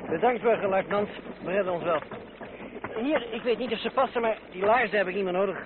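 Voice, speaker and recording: An actor playing a decisive gentleman traveller leading his party in a radio play, brisk and resolute. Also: sits high, close to an everyday voice. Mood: brisk, calm. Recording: very noisy, narrow old radio recording.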